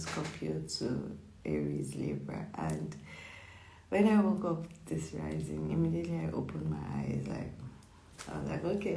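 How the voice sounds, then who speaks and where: A hoarse, croaky psychic chats through a weekly horoscope, repeating words and checking in with "okay?". The voice has a gravelly fry. It sits in a roomy, echoing space. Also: medium pitch, mellow, slight background noise. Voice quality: husky, croaky. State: hoarse from just waking up. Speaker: psychic astrologer. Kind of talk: casual weekly horoscope reading. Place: indoor room with echo. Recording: echoey room.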